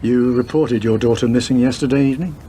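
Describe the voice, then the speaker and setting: A man's voice: mid-range voice, fast talker, dark tone, a little hiss or hum, close to an everyday voice. A man in a radio play acting a businesslike chief inspector who asks brief, formal questions.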